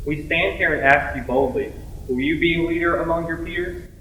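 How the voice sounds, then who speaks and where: A man's voice: on the high side, normal speed, pushed and bright, distant mic. Young man, mid-range voice, spoken-word recitation, echoey, faint background noise. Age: young adult. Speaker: student performer.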